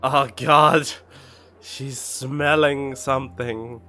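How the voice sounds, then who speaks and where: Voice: medium pitch, fairly even intonation, extremely slow, over music. A game streamer giving casual live commentary on a video game.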